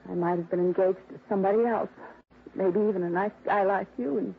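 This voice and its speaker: An actress playing an anxious, tender young daughter in a 1950s radio drama, speaking with earnest emotion. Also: female voice, medium pitch, fairly even intonation, a little fast, creaky, lightly acted. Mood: wistful, sad. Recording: tinny, faintly noisy old radio recording.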